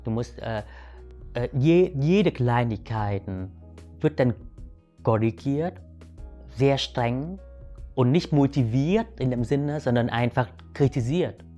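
Halting man. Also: medium pitch, expressive, mellow, lo-fi sound, faint music behind. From Vietnam. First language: Vietnamese. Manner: halting, many restarts and fillers, asks "weißt du". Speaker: guest. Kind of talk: reflective personal storytelling.

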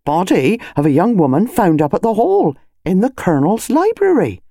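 A woman voicing a male village police constable in an audiobook, gruff and halting, then deferential to his betters. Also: high voice, big pitch swings, mellow, dry recording, studio quality, voice pushed up, full character voice. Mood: astonished, confiding. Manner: halting, officious, repeats himself. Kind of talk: audiobook character dialogue.

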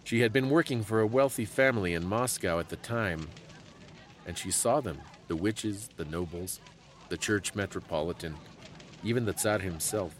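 A man giving a dramatic, scripted story reading in a measured, solemn and theatrical way. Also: on the low side, a little hiss or hum.

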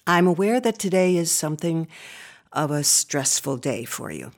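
A woman's voice: low-pitched, expressive, normal speed. A woman playing a concerned, prying aunt in a radio drama, formal, genteel and insistent.

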